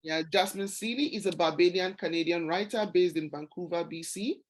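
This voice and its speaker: Lower-pitched woman hosting a book club. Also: fairly quick. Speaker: book club founder and host. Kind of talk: casual book club video call hosting.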